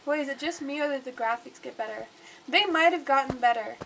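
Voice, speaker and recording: Woman streamer, stuffed-up nose, high voice, chatty rambling play-by-play. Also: brisk, creaky, a bit bright, slight background noise, low-quality recording. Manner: chatty and rambling, talks to the game aloud. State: congested. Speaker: livestreamer. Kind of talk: casual gameplay commentary.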